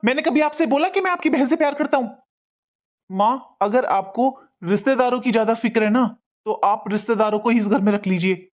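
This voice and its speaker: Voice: medium pitch, natural voice. An actor playing a defiant young newlywed son in a cartoon family melodrama, earnest, firm and insistent. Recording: dull lo-fi sound.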